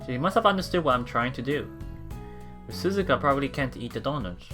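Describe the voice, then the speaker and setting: A let's player reads a visual novel aloud with casual commentary on the side. The delivery is animated and reactive, with very lively swings in pitch. Game music plays behind the voice. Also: fast. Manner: animated, reactive, laughs often. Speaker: let's play streamer.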